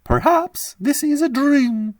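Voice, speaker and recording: Man playing a refined old lady, high, slow, wistful. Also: no echo, clean studio sound. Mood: dreamy.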